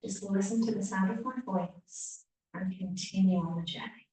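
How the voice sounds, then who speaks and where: A woman teacher leading a guided relaxation meditation, speaking gently with long pauses and hushed phrase endings. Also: mid-range voice, fairly even intonation. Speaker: yoga nidra teacher. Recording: echoey room, distant mic, faint hiss.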